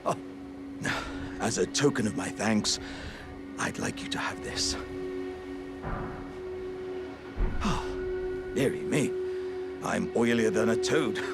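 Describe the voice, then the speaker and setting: A man's voice: fairly low pitch, very animated, takes it slowly, creaky, long pauses, over loud music, exaggerated voice. A man voicing a big, earnest, jovial living warrior jar in a video game, with theatrical, old-fashioned courteous speech. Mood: cheerful, grateful. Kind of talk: video game character acting.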